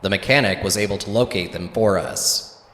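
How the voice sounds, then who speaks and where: Guy doing officious dry police officer, deep voice, echoey reverb, deadpan questioning in comedy podcast. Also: normal speed, a little hiss or hum, lightly acted. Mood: deadpan, pressing. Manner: deadpan, formal. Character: officious, dry male space police interrogator. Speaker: voice actor. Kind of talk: audio comedy drama acting. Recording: echoey, reverberant room sound.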